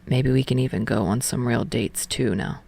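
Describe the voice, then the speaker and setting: A woman voicing a tall, calm young man, dry and teasing but quietly smitten, in a soft, understated audiobook reading. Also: rumbling low, creaky, dry recording, pitched below the performer's own voice, heavily acted. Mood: soft, fond. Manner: understated, measured.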